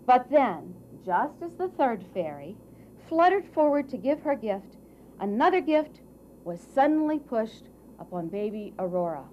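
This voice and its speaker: A woman storyteller with a high, very animated voice tells a tale to children. She is theatrical and unhurried, on a slightly dull recording. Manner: theatrical, deliberate, coaxing audience questions. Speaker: puppet show storyteller. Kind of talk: expressive live storytelling for children. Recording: dull, faintly noisy live recording.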